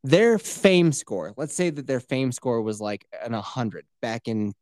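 A man co-hosting a podcast, talking in casual, profane comedy banter with a joking, mock-boastful and animated manner. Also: mid-range voice, takes it slowly, dry recording, clean studio sound. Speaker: podcast co-host.

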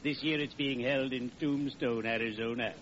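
An actor playing a genial, morbidly courteous undertaker with stately, over-formal diction in 1940s radio comedy. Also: male voice, medium pitch, fairly even intonation, moderate pace, lightly acted. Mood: morbidly cheerful. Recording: hissy, muffled vintage radio recording.

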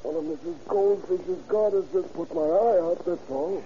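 A man's voice: sits high, normal speed, warm tone, natural voice. An actor playing a blunt, slangy, grumbling American tough guy in an old-time radio crime drama. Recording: muffled, noisy old radio recording.

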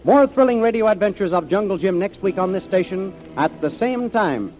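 A man's voice: high-pitched, expressive, normal speed, darkish tone, faint music behind. A man announcing and narrating a 1930s radio adventure serial in a dramatic, declamatory style. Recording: muffled old radio recording.

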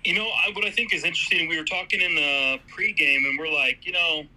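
A man calling in as a fan guest to a live sports stream, talking in a quick, wry way. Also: fairly high pitch, fairly even intonation, bright, forceful tone, rough recording. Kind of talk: casual fan talk.

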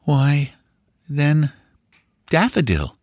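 An earnest little boy played by a grown man speaks in a raised, light pitch. He is polite and insistent, with a grave, secretive air suited to a storybook nephew. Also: very animated, drawn-out words, darkish tone, no echo, studio quality, exaggerated voice. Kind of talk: audiobook character dialogue.

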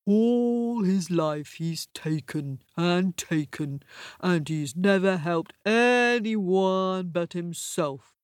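A woman voicing a wise, smug cow in a storybook, drawling slowly and chuckling. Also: on the low side, a little mellow, no room sound, clean studio sound.

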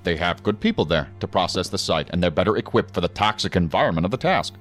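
Deep-voiced, stern agency chief. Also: male voice, expressive, fast talker, fairly bright tone, faint music behind. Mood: stern, official. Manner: authoritative, clipped, formal. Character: stern, commanding middle-aged male federal agency superior. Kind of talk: science fiction audio drama acting.

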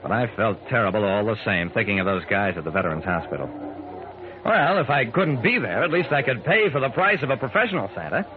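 Kindly male amateur detective, animated first-person radio narration, vintage narrow-band sound, faint noise. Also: fairly low pitch, brisk. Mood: measured, intrigued. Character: earnest, kindly amateur sleuth telling his story. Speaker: narrator and lead actor. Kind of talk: old-time radio drama acting and first-person narration. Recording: noisy, band-limited old radio broadcast.

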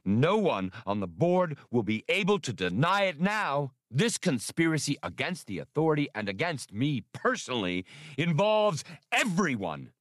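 A man's voice: on the high side, sing-song, moderate pace, dry recording, studio quality, pitched above the performer's own voice. A man doing a ranting paranoid executive. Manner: bellowing, ranting. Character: raging paranoid corporate boss man.

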